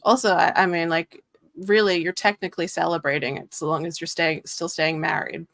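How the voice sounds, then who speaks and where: Low, gravelly woman with a dry sound. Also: steady tone, brisk, fairly bright tone, no echo. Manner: rambling, many "like" fillers, earnest. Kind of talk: casual livestream chat.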